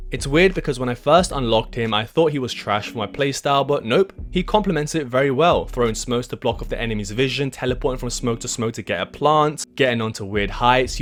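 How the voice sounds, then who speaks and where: A young British man narrating a scripted comedic gaming vlog in a self-deprecating, jokey, mock-dramatic manner. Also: medium pitch. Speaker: gaming video creator. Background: music.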